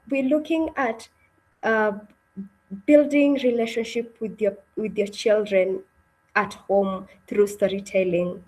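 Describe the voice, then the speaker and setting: Higher-pitched woman hosting a webinar. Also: rough recording. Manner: addresses listeners with questions and repeated check-ins. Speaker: webinar host. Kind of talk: informal online presentation to an audience.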